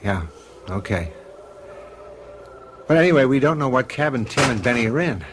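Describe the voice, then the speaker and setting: Radio drama father, male actor: American, mid-pitched, gentle, reassuring, quietly uneasy, slight background noise. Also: animated, normal speed, natural voice. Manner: hesitant, reassuring. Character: gentle, steadying husband and father, quietly troubled.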